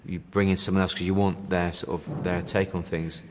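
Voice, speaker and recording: A man's voice: sits low, little pitch movement, normal speed, long reverb tail, background noise. A man chatting casually in an interview, rambling with lots of fillers and the odd "mate". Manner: rambling, many fillers, says "you know" and "mate". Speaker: band member interviewed.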